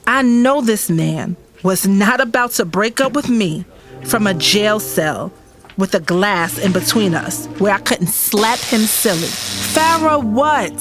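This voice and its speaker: A woman's voice: medium pitch, lively intonation, slow pace, over music. A woman with an American accent playing an emotional, insistent young girlfriend in an audio drama. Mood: upset, insistent. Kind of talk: first-person dramatic narration and dialogue in audio drama.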